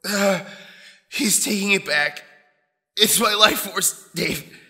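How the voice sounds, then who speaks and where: A pained, frightened young hero in an audio drama. His very bright, tense voice is halting and emotional, ringing in a long reverb. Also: high voice, full character voice. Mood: pained, confessing. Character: scared, pained young male captive hero. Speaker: voice actor.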